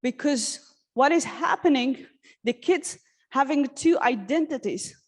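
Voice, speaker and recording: A woman from Syria giving an earnest, halting personal talk at a workshop, with many restarts and fillers. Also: high-pitched, lively intonation. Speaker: conference speaker. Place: conference hall.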